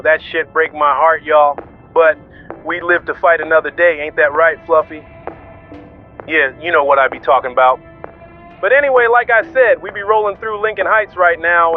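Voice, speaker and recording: Male actor as slangy street DJ: high voice, swaggering and melodramatic, tinny radio sound with music behind. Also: moderate pace, lightly acted. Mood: mock heartbreak, then cocky. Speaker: radio DJ host. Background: music and vehicle noise.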